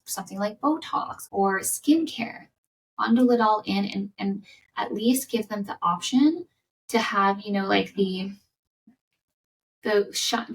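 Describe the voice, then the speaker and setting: A woman hosting a solo business podcast, giving conversational coaching advice with a slightly raspy voice from coming down sick. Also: mid-range voice, far from the mic, slight background noise, rough recording. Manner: conversational, repeats words and restarts, upbeat coaching.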